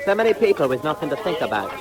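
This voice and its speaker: A man's voice: fairly high pitch, sing-song, rapid-fire, music in the background. An actor playing a cocky, bossy, streetwise teenage boy pickpocket in 1940s audio drama style. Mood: cocky, sly.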